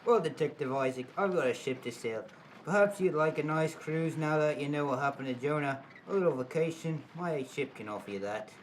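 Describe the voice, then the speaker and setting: A man's voice: fairly high pitch, a little fast, slight background noise, lightly acted, low-quality recording. A man playing a defensive sea captain in an amateur audio drama. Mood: offhand.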